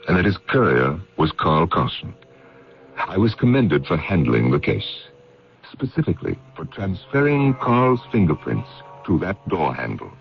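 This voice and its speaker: Smug British spy, radio drama: very low, creaky, widely swinging delivery over faint music. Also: male voice, full character voice. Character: self-satisfied male British intelligence operative recalling his case. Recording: dull old radio recording, faint music.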